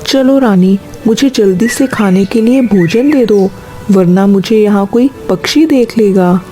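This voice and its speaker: A woman's voice: medium pitch, unhurried, dark tone, faint music behind, close to an everyday voice. A woman voicing a sly, scheming male crow in a children's cartoon, with a persuasive, explaining manner. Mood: hurried.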